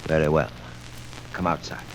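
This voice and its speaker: Cold commanding villain from an old radio serial, slow menace, very proper, bit of record noise. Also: male voice, extremely low pitch, fairly even intonation, rough recording. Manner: measured, menacing, formal. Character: sinister, commanding male criminal mastermind. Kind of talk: 1940s radio acting.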